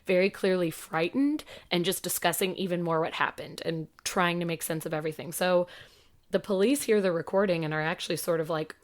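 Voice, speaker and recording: A woman in her thirties co-hosting a podcast, telling a story in a casual, animated way. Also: on the low side, a little fast. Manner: animated, swears, acts out quotes. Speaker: podcast co-host.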